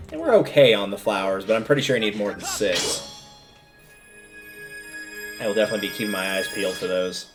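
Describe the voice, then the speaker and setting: A man giving casual livestream commentary while playing a video game, rambling a bit as he thinks aloud. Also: fairly low pitch. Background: video game music.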